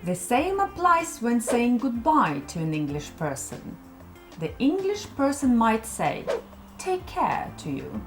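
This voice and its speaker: Russian woman explaining english habits, lots of pitch movement, quiet music underneath. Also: huge pitch swings, slow. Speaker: co-host teaching about English culture. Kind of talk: scripted explainer narration.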